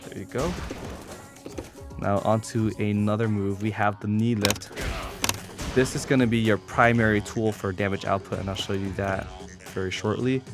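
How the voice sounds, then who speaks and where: Casual gamer guy over loud game music. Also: on the low side, steady tone, moderate pace, long pauses. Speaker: competitive gamer presenting a character guide. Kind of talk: casual video game tutorial commentary. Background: video game music.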